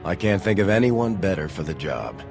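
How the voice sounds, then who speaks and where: An actor playing a calm male military commander with steady, dry humor. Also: sits low, normal speed, vocal fry, exaggerated voice. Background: music score.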